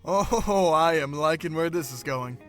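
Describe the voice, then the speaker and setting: A man's voice: a little high, measured pace, a bit bright, faint music behind. A man playing a mischievous, laid-back male student in an audio drama, joking in casual slang.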